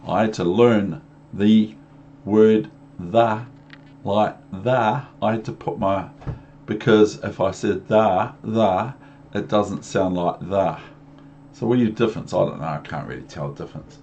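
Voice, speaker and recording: A man's voice: on the low side, unhurried, warm tone, dull audio, a little hiss or hum. A man with a New Zealand accent reading a storybook to young children, rambling into chatty asides and questions for the listener. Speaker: storybook reader.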